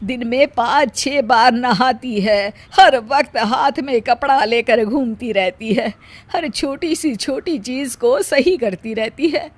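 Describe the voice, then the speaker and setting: A woman voicing a kindly, concerned middle-aged mother-in-law in an acted moral story, gentle and coaxing. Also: a little high, slow pace. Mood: worried.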